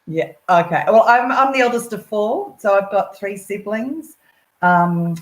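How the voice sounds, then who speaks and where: A woman's voice: medium pitch, expressive, a little slow, roomy sound, low-quality recording. A chatty, enthusiastic middle-aged Australian woman, a volunteer guest on a casual live stream who laughs often.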